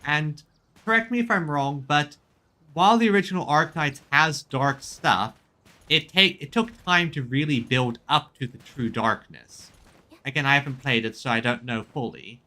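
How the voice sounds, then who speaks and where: A man streaming a game, chatting casually in a halting way, often repeating and rephrasing himself mid-sentence. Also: fairly high pitch, pushed and bright. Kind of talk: casual chat commentary on a game. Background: music in the background.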